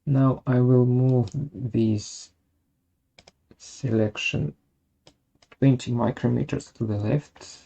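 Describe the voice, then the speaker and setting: Slow, husky, creaky software tutorial presenter. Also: medium pitch, fairly even intonation. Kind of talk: step-by-step software tutorial narration.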